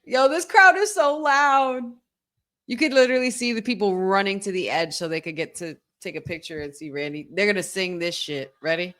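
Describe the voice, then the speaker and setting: A woman co-hosting a wrestling watch-along, talking in an excitable, chatty fan style with lots of "like". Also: medium pitch, normal speed. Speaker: podcast co-host. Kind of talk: casual watch-along commentary.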